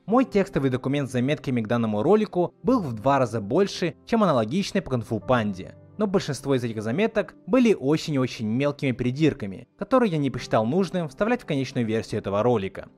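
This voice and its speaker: A man hosts a scripted video essay. His mid-pitched voice moves up and down in a lively way as he narrates, with soft music underneath. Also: fast talker, hardly any pauses. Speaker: video host.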